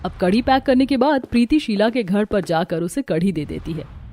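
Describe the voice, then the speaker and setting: A woman's voice: medium pitch, lively intonation, moderate pace, warm tone. A woman narrating a scripted cartoon story.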